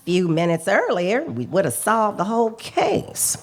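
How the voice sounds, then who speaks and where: A woman's voice: medium pitch, big pitch swings, measured pace, some chatter behind, slight background noise. A woman playing a feisty, talkative and opinionated witness in a radio police drama, speaking in emphatic, clipped sentences. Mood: indignant. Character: feisty, talkative female check-forgery witness, indignant and opinionated. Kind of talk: live radio play acting, police drama.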